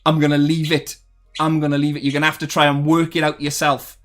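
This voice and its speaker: A man's voice: a little high, completely flat delivery, fast talker, fairly bright tone, soft background music. A young man hosting a live stream, chatting casually with viewers and rambling with lots of ums, perking up when people join. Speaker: streamer hosting a game development talk. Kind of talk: casual live stream chat, reading chat messages aloud.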